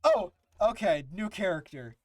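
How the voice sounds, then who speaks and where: A man's voice: high-pitched, lively intonation, unhurried. A man hosting a live fandub stream with casual gaming banter over game music. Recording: music behind.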